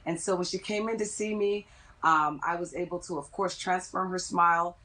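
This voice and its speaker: Lower-pitched woman explaining as an expert. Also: fairly even intonation, moderate pace, bright, forceful tone. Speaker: dentist interviewed as expert guest. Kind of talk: explanatory TV interview.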